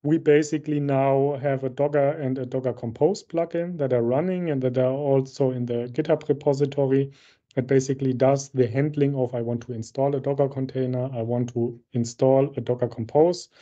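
Man, bright voice, dull call audio. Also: medium pitch, steady tone, brisk. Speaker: solution architect presenting a software demo. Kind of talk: technical demo presentation. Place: indoor room, on a video call. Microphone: video-call microphone.

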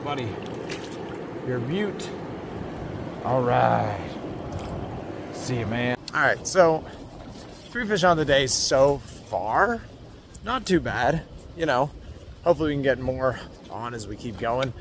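A man's voice: medium pitch, expressive, vocal fry. A young man in his twenties giving upbeat, casual commentary to his viewers while fishing, often talking to the fish and chuckling. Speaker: fishing vlogger. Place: outdoors by a rushing stream. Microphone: action camera, chest or handheld. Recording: outdoor camera mic with loud stream noise. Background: rushing water.

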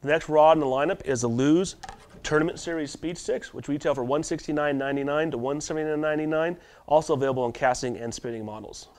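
A man in his thirties hosting a tackle shop video, running through product specs in a steady delivery with small restarts, in a warehouse storeroom. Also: a little high. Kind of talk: product rundown to camera. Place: warehouse storeroom with shelves of boxes.

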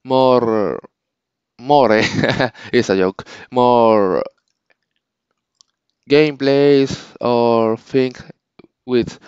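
Slow, halting man with a Spanish accent. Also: medium pitch, a little mellow, clear, resonant tone, no echo, highs cut off. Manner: halting, searching for English words, frequent restarts. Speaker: channel owner. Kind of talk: casual unscripted vlog talk.

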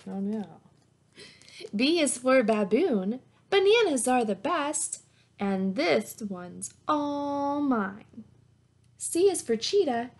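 A woman's voice: fairly high pitch, slight background noise. A woman in her thirties reading a picture book aloud to young children as a teacher, in an encouraging, sing-song way. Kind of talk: children's picture-book read-aloud with simple questions and praise. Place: small plain indoor room at home. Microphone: webcam or laptop mic at arm's length.

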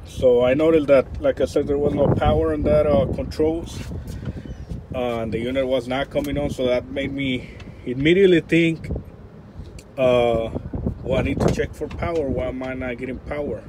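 A man's voice: medium pitch, fairly even intonation, normal speed. A man, an HVAC technician, talking through a repair outdoors at a rooftop unit, thinking aloud with fillers and restarts, recorded close on a handheld camera. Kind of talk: hands-on troubleshooting walkthrough. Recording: loud outdoor wind and traffic noise.

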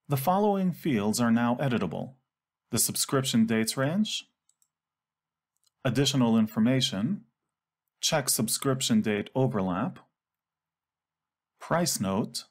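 A man reading scripted instructional narration for a software tutorial. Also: mid-range voice.

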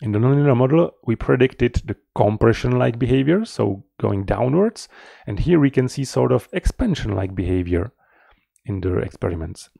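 A middle-aged man giving an explanatory technical presentation as a researcher, in a measured, slightly halting manner, close to the mic. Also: mid-range voice, expressive, creaky, dark tone, slightly rough, no echo. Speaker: researcher presenting a conference paper.

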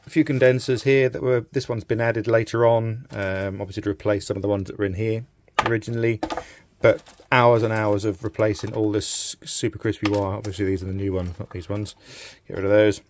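A man's voice: on the low side, a little mellow, slight background noise. A middle-aged man giving informal, hesitant workshop commentary as he presents a repair. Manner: informal, hesitant, thinking aloud. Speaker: radio restorer presenting a repair video. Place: small indoor workshop at a bench.

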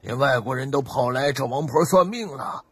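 Husky, excitable country villager man, acted. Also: mid-range voice, normal speed, no echo, close to an everyday voice. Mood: proud, excited. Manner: folksy, colloquial exclaiming. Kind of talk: audio drama acting.

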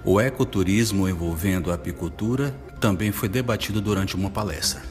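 Low-voiced Brazilian male narrator. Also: brisk. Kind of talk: scripted report narration. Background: guitar music.

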